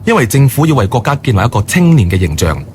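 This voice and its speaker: An actor playing an earnest, deferential young manager and boyfriend in a radio drama, polite and measured. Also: male voice, mid-range voice, big pitch swings, slight background noise, natural voice. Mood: earnest, informative. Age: young adult. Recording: studio radio drama, music bed.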